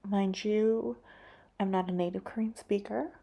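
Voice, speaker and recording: A woman painter giving a soft, halting, reflective talk about her painting, full of fillers and drifting into whispers. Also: mid-range voice, steady tone, vocal fry.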